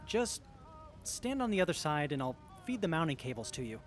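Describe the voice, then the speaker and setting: High-pitched, lively, earnest young tinkerer played by a man. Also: a little fast, faint music behind. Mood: focused. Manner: earnest, geeky, expressive. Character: earnest, excitable young tinkerer in armor, sincere and literal-minded. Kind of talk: audio drama acting.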